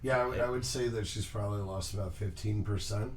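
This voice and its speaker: Low voice co-host guy, far from the mic, people chattering behind him. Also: fairly even intonation, quick pace, crowd noise. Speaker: podcast co-host. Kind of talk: casual chat.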